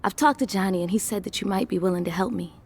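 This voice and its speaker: An actress playing a proud, sharp-tongued young singing star in a video game, sassy and assertive. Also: female voice, medium pitch, quick pace, breathy edge. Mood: earnest. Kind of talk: video game cutscene acting.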